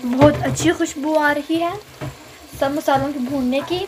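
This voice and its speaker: Woman with a high voice walking through a recipe at home, noisy kitchen with frying sizzle behind. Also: steady tone, normal speed. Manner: friendly step-by-step explaining, mixing in English words. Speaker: cooking channel host. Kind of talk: casual cooking tutorial voice-over. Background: frying and stirring sounds.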